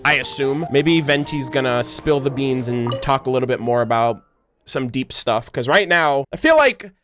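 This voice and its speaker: Male game streamer, casual and slangy, wide swings in pitch, soft game music underneath. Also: normal speed. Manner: slangy, expressive, swears freely. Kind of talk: casual reaction commentary.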